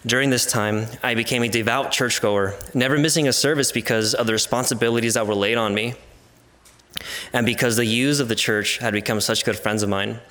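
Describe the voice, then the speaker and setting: A man's voice: medium pitch, fairly even intonation, fairly quick, fairly bright tone. A man in his twenties with an American accent, a native Spanish speaker, reading a personal testimony in an earnest, formal way. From California and Arizona, United States. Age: 20s. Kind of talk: scripted personal testimony, read aloud.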